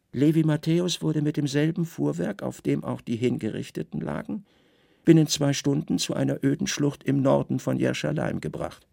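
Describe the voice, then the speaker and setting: A man voicing a deferential, composed secret-service commander in a radio drama, reporting in a measured, factual way. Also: mid-range voice, gravelly, darkish tone. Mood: matter-of-fact.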